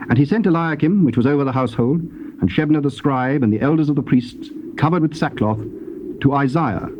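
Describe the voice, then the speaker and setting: A man narrating scripture from a script in a formal, measured manner. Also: mid-range voice. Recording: noisy, muffled old cassette tape.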